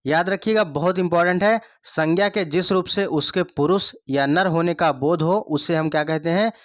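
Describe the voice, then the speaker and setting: A man with a fairly high voice leads an exam coaching lesson. He goes over each point again and again and quizzes his listeners with rhetorical questions. The sound is dry and close, with no room echo. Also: moderate pace. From India. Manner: repeats points, asks the class rhetorical questions. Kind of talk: online Hindi grammar lesson.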